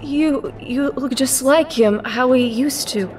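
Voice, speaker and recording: A woman's voice: high voice, takes it slowly, a little mellow, soft background music. A woman playing a defiant, punk older ranger warrior under evil control in an audio drama. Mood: stunned.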